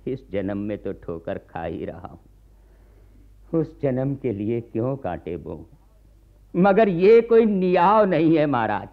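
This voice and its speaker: An actor playing a poor, burdened peasant farmer in a radio play, pleading and bitterly resigned. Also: male voice, medium pitch. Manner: pleading, aggrieved, weary sarcasm. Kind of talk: Hindi radio play acting. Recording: very muffled, narrow-band archival radio recording.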